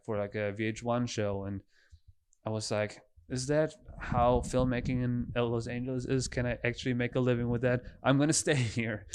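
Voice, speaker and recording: A man's voice: mid-range voice, smooth. A man in his thirties with a German accent, telling stories casually as a podcast guest. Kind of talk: casual conversational storytelling.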